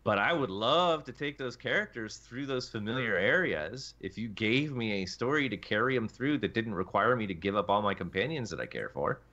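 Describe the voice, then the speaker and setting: A man's voice: fairly low pitch, animated, moderate pace, few pauses, bright, forceful tone, muffled recording. A man talking casually on a gaming panel, explaining his opinions at length and with emphasis. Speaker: podcast panelist. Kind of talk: casual panel discussion about a video game.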